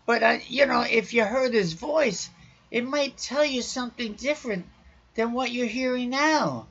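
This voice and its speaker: Man, raspy and husky, loud raucous podcast preacher, muffled lo-fi recording. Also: very high voice, measured pace. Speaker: podcast host.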